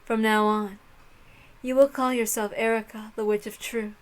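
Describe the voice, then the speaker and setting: A woman voicing a cruel, imperious witch mistress who speaks in a languid, haughty, measured way. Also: a little high, flat delivery, close to an everyday voice. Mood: cool, imperious.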